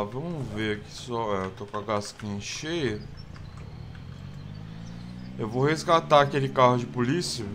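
A man's voice: medium pitch, noisy background. A man with a Brazilian Portuguese accent casually commenting on his survival game, rambling with many fillers. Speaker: gameplay video host. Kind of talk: casual let's play commentary.

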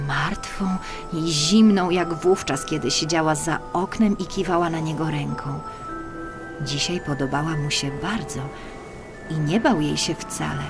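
Female storyteller for children's audiobook: slightly low pitch, expressive deliberate phrasing, clear music bed. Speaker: audiobook narrator. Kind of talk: scripted children's storytelling narration.

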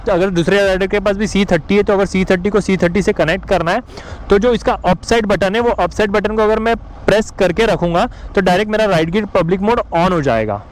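A man's voice: high voice, quick pace, slight background noise, rough recording. A man in his thirties with an Indian accent giving a vlog tutorial, explaining step by step with English terms mixed in. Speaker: motovlogger. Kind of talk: tutorial vlog to camera and voice-over. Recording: music behind the voice. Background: background music.

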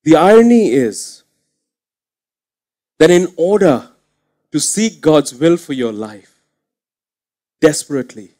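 A man in his thirties preaching a sermon on a church stage into a headset mic, earnest and swinging from quiet asides to emphatic points. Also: mid-range voice. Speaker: pastor. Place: church stage with curtain backdrop.

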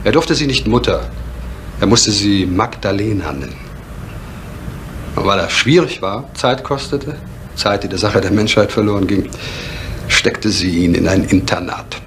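An actor playing a reserved, bitter divorced father in a vintage German crime drama, speaking in a measured way with deliberate pauses. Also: lowish voice, expressive. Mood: bitter reflection. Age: middle-aged. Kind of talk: 1970s German television crime drama acting. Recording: faintly noisy vintage drama soundtrack.

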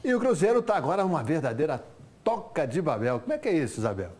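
A middle-aged man hosting a TV sports show from a studio, chatting and reading ads in a lively, conversational way. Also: fairly high pitch, breathy edge. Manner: lively, conversational, quick asides. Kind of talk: studio sports chat and live ad read.

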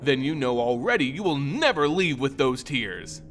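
A man voicing an honorable, stern warrior knight in a dramatic fantasy story, speaking forcefully through gritted teeth. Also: medium pitch, expressive, moderate pace, faint music behind, close to an everyday voice. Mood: growling defiance. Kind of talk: dramatic fantasy audiobook character voice.

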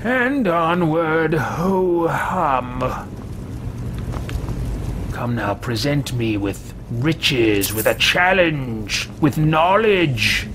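A man's voice: on the high side, lively intonation, drawn-out words, background noise. A man in his thirties voicing a haughty, greedy male mage adventurer with pompous, theatrical disdain in a video game roleplay. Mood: bored, imperious. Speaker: let's-play host roleplaying a game character. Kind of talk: theatrical in-character game commentary.

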